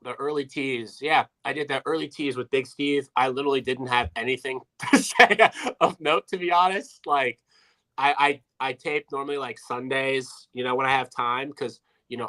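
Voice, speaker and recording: 20-something guy, bright sharp voice, self-deprecating jokes, co-hosting a betting podcast. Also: medium pitch, steady tone, moderate pace, poor audio. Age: 20s. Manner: self-deprecating, wry humor. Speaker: podcast co-host. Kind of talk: casual betting podcast chat.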